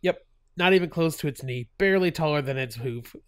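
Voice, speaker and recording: A man's voice: fairly high pitch, fairly quick, dry recording. A man with a stuffy cold hosting his gaming channel, chatting casually over gameplay, laughing often and full of fillers and restarts.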